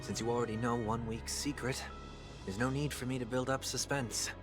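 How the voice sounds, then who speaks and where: A man's voice: mid-range voice, fairly even intonation, normal speed, over music, lightly acted. An actor playing a theatrical male showman in game dialogue, with a grand, performative delivery.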